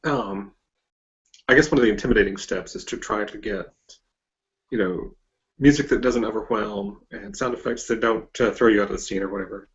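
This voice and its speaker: A man hosting a casual interview, putting his questions in a tentative, halting way. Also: lowish voice, lo-fi sound. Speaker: interviewer, host.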